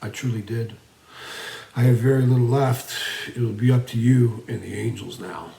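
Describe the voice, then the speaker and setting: A man's voice: mid-range voice, moderate pace, creaky, mellow, lightly acted. A man voicing a weakened, dying master wizard in a fantasy story, faint and resigned. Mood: weary, resigned. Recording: roomy, echoing voice recording.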